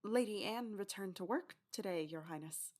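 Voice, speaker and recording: A woman's voice: mid-range voice, moderate pace, darkish tone, close to an everyday voice. A woman playing a deferential palace servant. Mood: flustered, respectful. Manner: deferential, formal. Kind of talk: audio drama dialogue.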